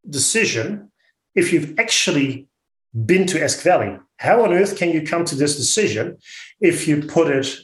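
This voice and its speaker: A man with a Dutch accent speaking as an interview guest, blunt and outspoken, often halting and repeating words. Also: fairly high pitch, roomy sound, low-quality recording. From Netherlands. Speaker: interview guest, orchard business owner. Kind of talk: radio interview.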